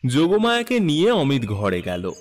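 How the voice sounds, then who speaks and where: A man narrating a literary story in an expressive, measured, dramatic style. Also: fairly high pitch, in a large hall.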